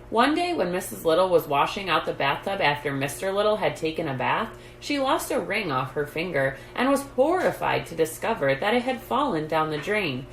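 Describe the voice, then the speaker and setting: A woman with a lowish voice reads a children's story aloud, deliberately and with emphasis. Her pitch rises and falls in a lively way. The room is echoey. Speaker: storytime host reading a children's book. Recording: roomy echo, background noise.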